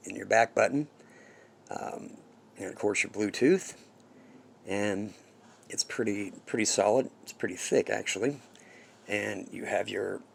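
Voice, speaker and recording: A man giving a casual, unscripted product demo as a reviewer, haltingly listing features one by one. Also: on the low side, creaky, a little hiss or hum.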